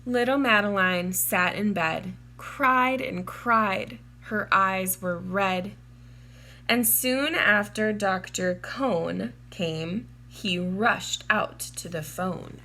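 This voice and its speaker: A woman reading a children's storybook aloud in a measured, deliberate and expressive way, drawing out words. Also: medium pitch. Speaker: children's storybook reader, teacher. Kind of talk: read-aloud storybook narration for young children.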